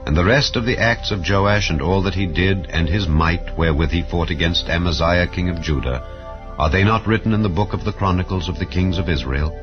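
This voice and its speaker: A man giving a measured, solemn scripture reading over soft background music. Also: low voice, dull audio. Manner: measured, solemn reading with rising and falling phrases. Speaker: Bible reader.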